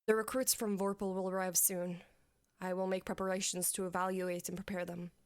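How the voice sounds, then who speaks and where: A woman's voice: lowish voice, flat delivery, brisk, no echo, clean studio sound, close to an everyday voice. A woman playing a dutiful military commander in a fantasy audio story, speaking formally and directly to her king. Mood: businesslike, questioning. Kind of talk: audio fiction acting.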